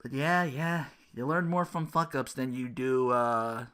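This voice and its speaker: A podcast host giving casual, exasperated and sarcastic commentary in an animated way, swearing freely. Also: medium pitch, measured pace, smooth. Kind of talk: casual ranting commentary about an internet personality.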